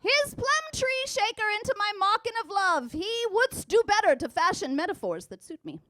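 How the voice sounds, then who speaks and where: A woman's voice: very high voice, sing-song, moderate pace, fairly bright tone, no echo, clean studio sound, exaggerated voice. A woman playing a vain, lovestruck, dramatic thirteen-year-old noble maiden in a comic mock-Shakespearean monologue with modern slang. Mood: indignant, sassy. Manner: theatrical, exaggerated, indignant.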